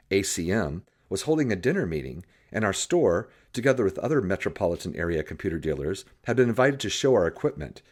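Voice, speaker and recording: A man reading an audiobook as narrator, telling a story in an animated, scripted style with acted dialogue. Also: sits low, husky.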